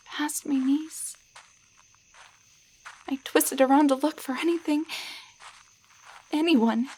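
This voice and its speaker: A woman playing a timid young waitress shrunk into a doll, in a roleplay audio drama, speaking in a halting, stammering way. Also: high-pitched, a little mellow, slight background noise, rough recording. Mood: frightened.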